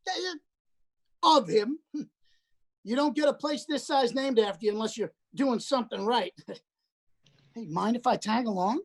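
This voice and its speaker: A woman voicing a crude, lecherous older man who is a hospital patient, talking with a leering, boastful drawl and breathy chuckles. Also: on the high side, measured pace, pauses often. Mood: sleazy. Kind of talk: livestream play reading.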